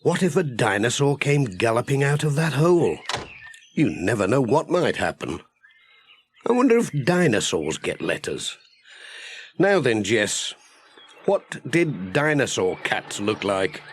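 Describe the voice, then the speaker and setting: A man's voice: medium pitch, big pitch swings, a little slow, slight background noise. A man playing a friendly village postman in a children's audiobook, cheerfully musing aloud to himself and his cat. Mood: playful musing. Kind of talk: children's audiobook character acting.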